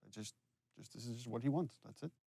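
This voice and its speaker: A man giving casual behind-the-scenes commentary as a game director, telling it like an animated storyteller. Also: medium pitch, quick pace, vocal fry, slightly rough, dry recording.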